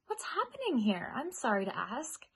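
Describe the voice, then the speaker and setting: A woman voicing a curious, kind little male mouse in a storybook, politely questioning, with a light, husky voice. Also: fairly high pitch, huge pitch swings, normal speed, fairly bright tone, exaggerated voice. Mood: curious, concerned.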